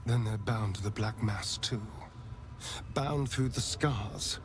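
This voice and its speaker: An actor playing a sardonic, guilt-ridden vampire spawn in a video game, theatrical and given to dramatic breathy pauses. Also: male voice, fairly low pitch, over music. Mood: guilty, horrified.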